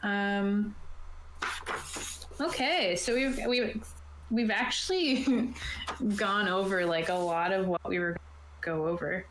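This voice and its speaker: A woman's voice: mid-range voice, slow pace, long pauses, background noise. Woman game master in an echoey room. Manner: informal, conversational. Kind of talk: casual explanation of tabletop game rules. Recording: roomy, echoey voice sound.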